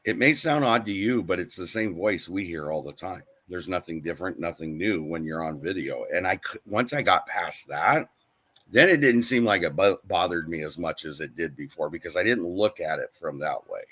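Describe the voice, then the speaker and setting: A man's voice: sits low, expressive, normal speed, fairly bright tone, breathy edge. A man co-hosting a casual live-stream chat, reading viewer comments aloud and answering them. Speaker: co-host of a live channel chat.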